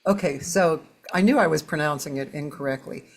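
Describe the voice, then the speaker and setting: A woman hosting a casual online chat, unhurried and chatty, laughing easily. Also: deep voice. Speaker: host.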